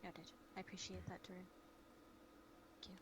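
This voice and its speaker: A woman's voice: on the low side, flat delivery, normal speed, a bit bright. Woman roleplaying over noisy voice chat. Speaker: roleplayer playing a guild leader. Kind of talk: casual in-character roleplay chat. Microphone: online voice chat in a virtual world. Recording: clearly noisy online voice chat.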